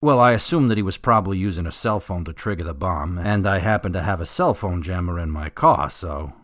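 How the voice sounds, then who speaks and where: A man playing an unbothered, sardonic police officer in a radio drama, deadpan and casual. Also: low voice, fairly quick, no room sound, clean studio sound. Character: gruff, unbothered male police officer, sardonic risk-taker.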